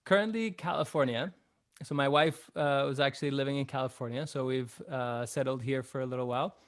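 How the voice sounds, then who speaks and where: A young man raised in Chile, a musician guest in an interview, answering in a relaxed, reflective way with many fillers. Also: mid-range voice, a little fast.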